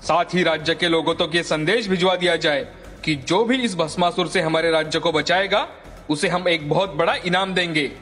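High-pitched, echoing man acting an indignant cartoon king. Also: normal speed, fairly bright tone, close to an everyday voice. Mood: commanding. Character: troubled, indignant, commanding king of a fairy-tale realm. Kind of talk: animated story dialogue. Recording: long hall reverb, faint music.

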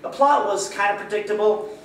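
A young man reviewing a movie to camera, wry and offhand, a bit halting. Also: sits high, fairly bright tone. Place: small indoor studio with a poster backdrop. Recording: very echoey room, mic far off, faint hiss.

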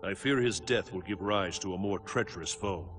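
An actor playing a wise, protective elder mentor in a video game, giving measured, solemn counsel. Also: male voice, low voice, music in the background, natural voice. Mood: grave, counselling. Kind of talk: dramatic video game acting.

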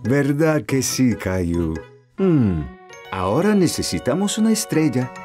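A man voicing a patient, kindly young father in a children's cartoon, gentle and encouraging. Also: mid-range voice, big pitch swings, unhurried, over music. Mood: helpful, upbeat.

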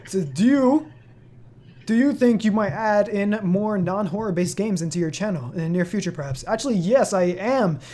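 A man's voice: high voice, lively intonation, normal speed, mellow. A seventeen-year-old young man hosting a casual question-and-answer chat on his channel, rambling with many fillers and laughs. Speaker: channel host.

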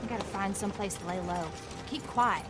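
A woman's voice: medium pitch, normal speed, over loud music, natural voice. An actress playing a firm, plain-spoken frontier wife and mother with an American accent in a western game, direct and folksy. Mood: reproachful, pleading. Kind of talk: cinematic western game dialogue.

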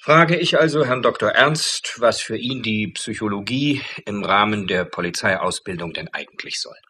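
A man's voice: lowish voice, moderate pace, bright, forceful tone, slight background noise. An actor playing a ceremonious, wordy academic chairman with an ironic manner in a radio drama. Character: pompous, joking male academic committee chairman. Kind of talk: German radio play acting.

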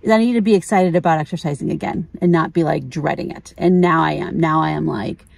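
Creaky low-voiced woman vlogger. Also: fast, no room sound. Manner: informal, candid, thinking aloud. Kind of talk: casual chat.

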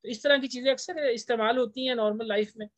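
A man giving an informal lecture as a teacher, explaining point by point and slowing down for English phrases. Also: very high voice, fairly even intonation, no echo. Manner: explanatory, slows down when reading English phrases. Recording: dull, lo-fi close recording.

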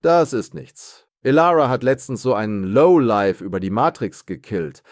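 A man's voice: medium pitch, expressive, moderate pace, no room sound, clean studio sound, natural voice. A man reading the part of a boastful, suspicious rich young man in an audiobook, bragging as he talks.

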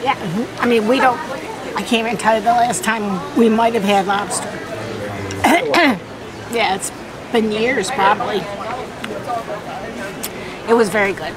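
A woman's voice: a little high, measured pace, long pauses, a crowd in the background, low-quality recording. Older woman chatting outdoors. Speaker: co-host. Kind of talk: casual travel vlog chat.

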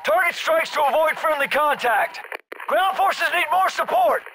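An actor playing a gruff, commanding middle-aged special forces soldier in a military shooter game, giving clipped, urgent orders. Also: male voice, very high-pitched, expressive, measured pace, bright, forceful tone, a little hiss or hum, heavily acted, poor audio.

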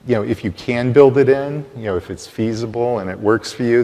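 A man on a conference panel explaining legal guidance in a measured, explanatory way. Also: on the low side, darkish tone. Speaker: panelist, privacy lawyer. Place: large conference hall stage. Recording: long hall reverb.

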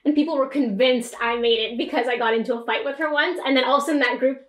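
A young woman from Quebec telling animated stories on a casual podcast, acting out what others said. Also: high-pitched, quick pace, echoey room. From Quebec, Canada. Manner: animated, acts out quotes of others. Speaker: podcast co-host. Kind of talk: casual chat, storytelling. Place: small room at home, indoors.

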